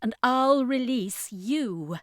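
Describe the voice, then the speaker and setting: A woman's voice: on the high side, expressive, slow pace, dry recording, studio quality, voice pushed up. A woman voicing a firm, determined heroine who stands up to monsters in a fantasy story.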